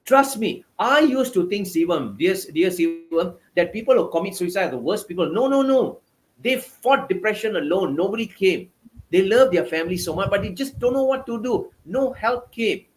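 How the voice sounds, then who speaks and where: A man's voice: high voice, animated, normal speed, poor audio. A man with a Malaysian accent, a guest author giving passionate, emphatic interview answers over a video call. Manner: passionate, emphatic, repetitive phrasing.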